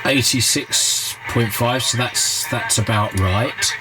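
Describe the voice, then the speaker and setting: A man giving an unscripted, halting workshop commentary, thinking aloud as he works at a repair bench. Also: medium pitch, over loud music, low-quality recording. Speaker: hobbyist radio repairer and video host. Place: indoor repair workbench. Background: loud noise, radio and test-tone sounds.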